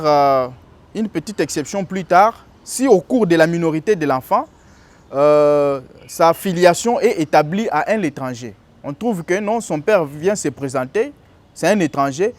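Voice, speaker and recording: A man talks through legal points like a teacher. His voice sits high for a man, and he pauses often on fillers as he explains. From DR Congo. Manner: didactic, with frequent hesitations. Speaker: political commentator. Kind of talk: explanatory commentary.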